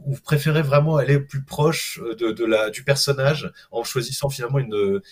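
Middle aged guy on a video call panel, mid voice, halting, repeats words a lot. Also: mid-range voice. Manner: halting, hesitant delivery with many self-repetitions. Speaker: guest animated film director. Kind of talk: casual panel discussion.

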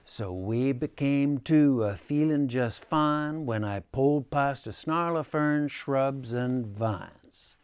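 An older man reading a children's picture book aloud with a theatrical, drawn-out delivery. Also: medium pitch, clean tone, dry recording. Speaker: storybook reader. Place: small furnished room indoors.

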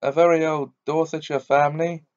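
A man voicing a fussy old gentleman who wails and protests in a high, trembling voice, on a dull lo-fi recording. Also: level tone, brisk, natural voice. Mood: panicked. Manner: trembling, wailing.

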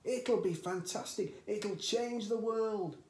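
A man's voice: sits high, a little mellow, pitched above the performer's own voice. A man voicing an overexcited middle-aged father who bellows and gushes over his schemes. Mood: excited. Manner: bellowing, gushing, drawn-out exclamations. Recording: roomy echoey home recording.

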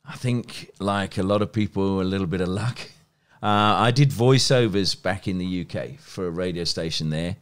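English guy, 50s, low voice, well-spoken accent, chatty storyteller in an interview, animated. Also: moderate pace, smooth. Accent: educated English. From West Country, England. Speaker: guest, sports journalist and broadcaster. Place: small plain indoor room. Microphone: close microphone on a stand.

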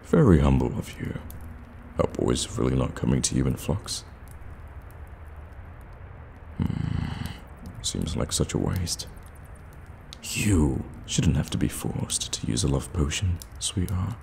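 A man playing a sly, courteous warlock shopkeeper in an ASMR fantasy roleplay, addressing the listener with teasing, soft-spoken flattery. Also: rumbling low, a little slow, creaky, full character voice. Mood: flattering, teasing. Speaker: voice actor in an audio roleplay. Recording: cavernous reverb, ambient background noise.

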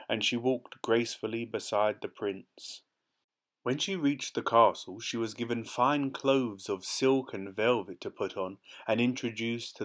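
A man calmly reading a fairy tale aloud from a storybook. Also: medium pitch. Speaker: storybook reader. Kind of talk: gentle read-aloud storytelling.

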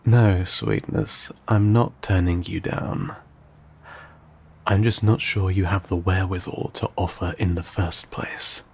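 Man playing an ancient gravelly vampire, slow and low. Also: fairly even intonation, darkish tone, full character voice. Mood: cautioning, stern. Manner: measured, hesitant, formal and old-fashioned diction. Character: ancient, courteous, guarded male vampire living in solitude. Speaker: audio roleplay voice actor. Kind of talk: intimate audio roleplay acting.

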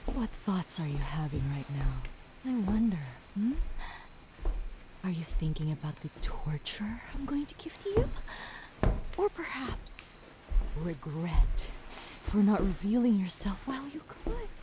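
A woman playing a sadistic, obsessive demon woman in an ASMR roleplay, gloating and possessive, with menacing breathy whispers that can break into shouts. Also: medium pitch, big pitch swings, unhurried, long pauses, background noise. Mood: mocking, teasing.

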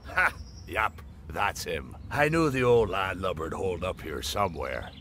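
An actor in a video game playing a shady, gruff pirate who talks in a mocking, bossy, swaggering way. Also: male voice, deep voice, animated, measured pace, sharp tone, slight background noise, exaggerated voice. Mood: gruff, scheming. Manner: taunting, swaggering.

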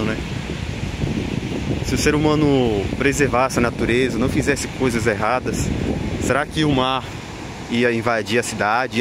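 A man with a Brazilian accent giving unscripted walking vlog commentary, repeating himself in an admonishing way with frequent tag questions. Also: mid-range voice. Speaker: vlogger. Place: outdoors on an open windy beach. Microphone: handheld camera mic, behind the camera. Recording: loud wind noise on mic. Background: wind and outdoor ambience.

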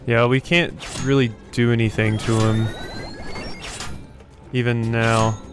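A man's voice: mid-range voice, level tone, takes it slowly. A man giving casual let's play commentary, thinking aloud as he plays. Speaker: gaming video host. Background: game music.

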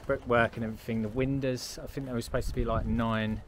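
A man's voice: mid-range voice, level tone, quick pace, heavy background noise. A young man, casually explaining work on his self-build on an outdoor building site, recorded on a handheld action camera. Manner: practical, explaining on the go.

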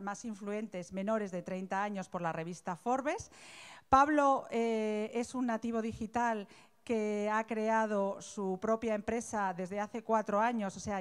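A woman's voice: mid-range voice, smooth. A young woman presenting an event and introducing a guest speaker to students, speaking with frequent hesitations in a university auditorium. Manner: hesitant, many fillers.

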